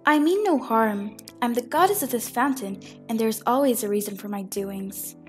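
A woman's voice: fairly high pitch, moderate pace, soft background music, lightly acted. A woman voicing an earnest, grieving fountain goddess who speaks in dramatic, pleading speeches in an amateur fan film. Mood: solemn, wistful.

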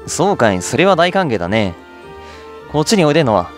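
A man's voice: fairly high pitch, sing-song, brisk, faint music behind. A man voicing a brash, stubborn woman gambler with a bossy, boisterous manner in a game. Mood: brash, teasing.

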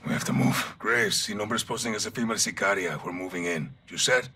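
A man's voice: lowish voice, a little fast, pushed and bright, husky. A man playing a fiery, principled army commander in a video game, with a Mexican accent and an intense manner. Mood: tense. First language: Spanish. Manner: intense, hot-tempered. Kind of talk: cinematic game acting. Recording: dramatic score over the dialogue.

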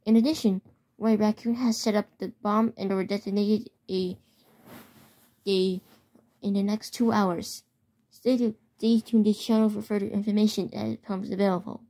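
A woman reading a scripted mock emergency alert as an amateur announcer, halting with stumbles and repeated words. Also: medium pitch, fairly even intonation, warm tone.

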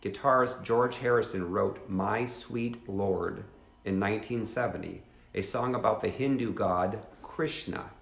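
A man's voice: on the low side, measured pace, creaky, roomy sound. Earnest fifties man reading a teaching. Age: 50s. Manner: earnest, reads steadily with asides to viewers. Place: living room at home.

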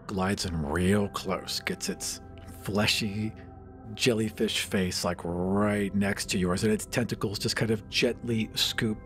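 A man's voice: fairly low pitch, takes it slowly, soft background music. A man narrating a tabletop game as game master.

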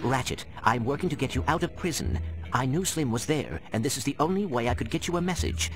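An actor playing a small, polite robot secret agent in a video game, formal and precise. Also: male voice, mid-range voice, animated, fast, lightly acted. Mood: earnest. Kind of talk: spy spoof video game cutscene acting.

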